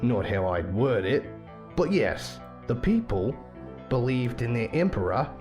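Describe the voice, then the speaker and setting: A man's voice: medium pitch, very animated, measured pace, over music. A man playing a loyal, reasonable defense advocate in a visual novel, speaking persuasively and plainly.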